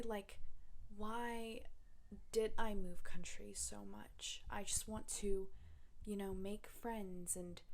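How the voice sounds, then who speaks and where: A woman's voice: mid-range voice, darkish tone. A young woman from Russia, talking casually to camera in a reflective, rambling way with many fillers. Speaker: vlogger. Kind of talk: casual personal talk to camera.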